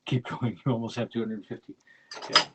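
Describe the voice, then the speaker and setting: A man's voice: medium pitch, fairly even intonation, a little fast. A middle-aged man from Ontario with a small-town Canadian accent, chatting casually on a livestream with many fillers and restarts. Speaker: livestream host. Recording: loud background noise.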